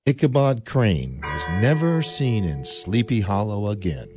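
A man's voice: fairly low pitch, sing-song, measured pace. A man narrating a radio play in a theatrical way, building tension through the telling. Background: music.

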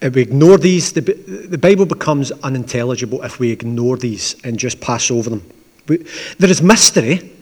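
A man with a Glaswegian accent, preaching as the pastor of a church in a conversational, gently humorous way. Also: mid-range voice, lively intonation, moderate pace. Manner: conversational, with asides and dry humour. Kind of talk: sermon with opening prayer. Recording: long church reverb.

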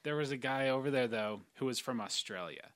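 A man's voice: mid-range voice, brisk, fairly bright tone, smooth, no room sound, clean studio sound. An American man co-hosting a podcast, casually telling stories with lots of "like". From United States. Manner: storytelling, lots of "like". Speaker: podcast co-host. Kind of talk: casual chat.

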